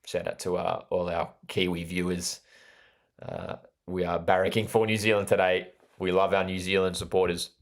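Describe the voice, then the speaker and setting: An Australian man with a fairly low voice hosts a live football watch party. He calls the play with enthusiasm and speaks directly to viewers, often repeating a phrase for emphasis. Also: little pitch movement, moderate pace. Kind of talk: live sports commentary with chat interaction.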